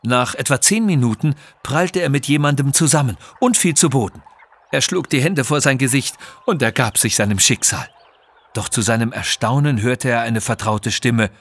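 Rauer Erzähler eines Kinderabenteuers. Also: male voice, mid-range voice, moderate pace. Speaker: narrator. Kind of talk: scripted children's adventure story narration.

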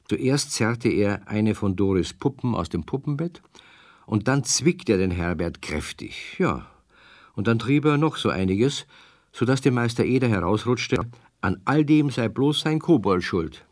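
A man's voice: on the low side, expressive, unhurried, dry recording, studio quality. A man narrating a children's radio story, speaking directly to the young listeners. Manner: warm, addresses children directly.

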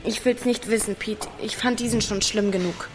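A woman acts a teenage girl from a small village in a naturalistic radio drama. She is reserved and uneasy, answering tersely and with some hesitation at a middling pitch. Music plays faintly behind her. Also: fairly even intonation, moderate pace, close to an everyday voice. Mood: uneasy, defensive.

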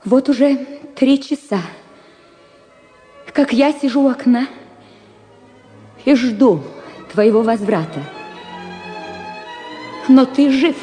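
An actress playing a heartbroken married woman reading her farewell letter to a lover in a radio drama, in a hushed, slow, confessional way. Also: high voice. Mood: desperate pleading. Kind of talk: Russian literary radio drama, letter monologue. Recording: music under the voice. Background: music.

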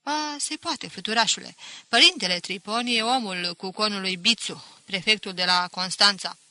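Woman as a bright-voiced, gossipy village woman. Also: mid-range voice. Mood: knowing, sly. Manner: confiding, sly, emphatic. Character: gossipy, knowing village woman confiding local scandal. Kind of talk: audiobook character acting.

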